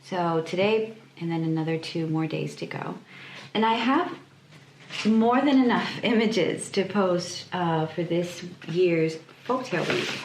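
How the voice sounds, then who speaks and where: Slow-talking woman vlogger in an echoey room. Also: lowish voice. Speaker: illustrator and vlog host. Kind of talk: casual vlog chat. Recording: echoey room, clear background noise.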